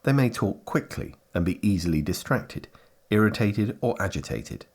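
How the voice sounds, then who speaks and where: A man reading scripted instructional narration for a training film in a measured, carefully phrased way. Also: lowish voice, expressive.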